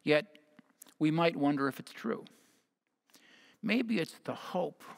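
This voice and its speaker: Measured American male preacher. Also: fairly high pitch, expressive, gravelly. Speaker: pastor. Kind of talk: sermon, partly read from notes. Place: church sanctuary at a lectern. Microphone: headset mic.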